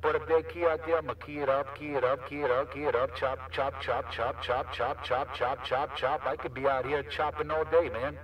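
A man's voice: medium pitch, level tone, normal speed, hardly any pauses. A man chatting casually as a CB radio operator, with repetitive, slangy patter. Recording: distant CB radio transmission.

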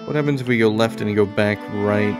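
Low-voiced man musing over a video game. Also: brisk. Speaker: gaming video host. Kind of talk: casual let's play commentary. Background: game music behind the voice.

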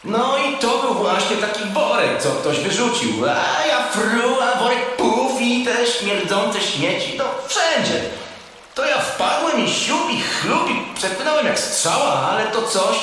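A man playing a young merboy in a children's audio drama, boyish and expressive, friendly but plaintive. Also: very high voice, a little slow, heavily acted. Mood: animated, plaintive. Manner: boyish, expressive, uses sound words. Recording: echoey, reverberant cave effect, faint noise.

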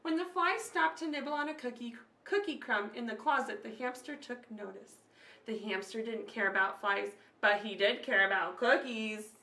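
Woman in her 30s doing kids story time, expressive, really high voice, bit of room echo. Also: normal speed. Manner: expressive, addressing children with questions and asides. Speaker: children's storyteller. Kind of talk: picture-book read-aloud for children. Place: small room at home, indoors. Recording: slightly echoey room.

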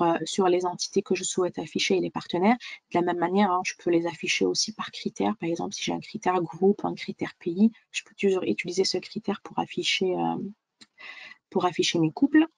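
Woman presenting a software demo, dry sound. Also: lowish voice, vocal fry, no echo. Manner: explanatory, step by step. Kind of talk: webinar software demonstration.

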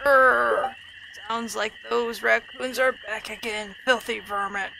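A woman's voice: fairly even intonation, slow pace, a little hiss or hum, poor audio. A woman putting on a grumpy old village man. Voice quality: high-pitched, gravelly. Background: game music.

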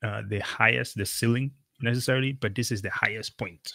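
A man's voice: mid-range voice, steady tone, brisk, vocal fry, sharp tone, dry recording, clean studio sound. A middle-aged man from Cameroon hosting an interview over a video call, talking casually and emphatically with many fillers. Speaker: podcast host.

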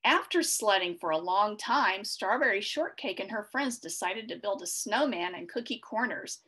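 A middle-aged woman reading a children's storybook aloud, expressive and clearly worded for young listeners. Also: mid-range voice, moderate pace. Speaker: read-aloud host for children. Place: small furnished room at home, indoors.

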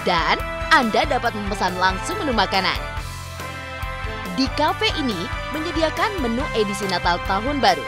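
A woman reading a scripted news voice-over in a lively, promotional way. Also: on the high side, normal speed. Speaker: news narrator. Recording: voice-over over loud music.

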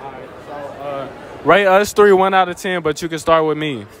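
A man's voice: on the high side, normal speed, fairly bright tone, a faint crowd. A young man running a casual street interview, handheld mic in a shopping mall, easygoing and slangy, echoing answers back as questions. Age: young adult.

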